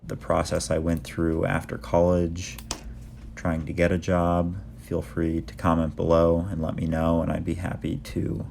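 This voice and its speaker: A young man in his twenties talking casually through his day in a vlog, with a flat, monotone delivery. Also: sits low, unhurried, gravelly, slight background noise. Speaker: vlogger. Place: apartment kitchen table and backyard.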